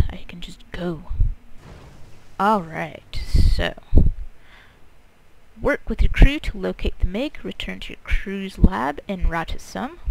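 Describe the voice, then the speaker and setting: Female gameplay commentator: offhand, chatty, gravelly fry, mouth right on the mic, slight noise. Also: fairly low pitch, rough recording. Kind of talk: casual game commentary. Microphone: too close to the mouth. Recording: mouth too close to mic, some noise.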